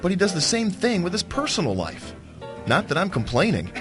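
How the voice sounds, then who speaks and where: A man's voice: high voice, huge pitch swings, brisk, music in the background. A man playing a flippant, teasing business partner in a radio drama, speaking in an arch, mocking, flowery manner. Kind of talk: theatrical radio drama acting.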